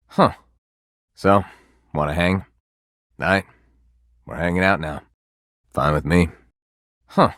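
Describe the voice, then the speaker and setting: An actor voicing a living candelabra in a video game, a self-confessed fraud who talks bluntly and casually, with sulky resignation and a flirtatious streak. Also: male voice, low voice, slow pace, long pauses, a bit bright, dry recording, clean studio sound. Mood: resigned, casual. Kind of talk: video game character dialogue.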